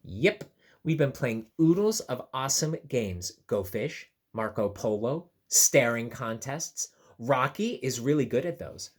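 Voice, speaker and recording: A man's voice: medium pitch, animated, unhurried, husky. A man voicing a friendly, chatty jellyfish from a picture book, playful and bouncy in delivery. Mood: cheerful.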